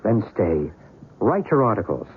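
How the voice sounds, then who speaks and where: Earnest mystic believer, thin radio sound. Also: male voice, lowish voice, very animated, unhurried, phone-quality sound. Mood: earnest, persuasive. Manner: earnest, philosophical, persuasive. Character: earnest male mystic believer in otherworldly visitors. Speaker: actor in a radio drama. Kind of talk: old-time radio acting. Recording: noisy, thin old radio recording.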